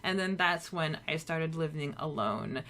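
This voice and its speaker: A woman in her thirties with a distinctly low voice co-hosts a podcast. She talks casually and conversationally, as if reminiscing with a friend. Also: expressive, unhurried, a bit bright. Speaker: podcast co-host.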